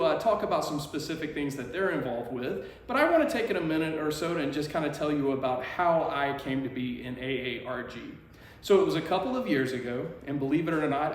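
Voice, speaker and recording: A man talking casually to camera, presenting a club as one of its members. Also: fairly high pitch. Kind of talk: casual promotional talk to camera. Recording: echoey, reverberant room.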